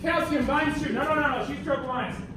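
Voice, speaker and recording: A man's voice: very high-pitched, fairly even intonation, fast talker. An American man teaching as a university instructor, informal and theatrical, directing students and quizzing them with questions. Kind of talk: animated classroom lecture with questions and directions. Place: indoor university classroom during a live demonstration. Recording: echoey room, far mic, noisy.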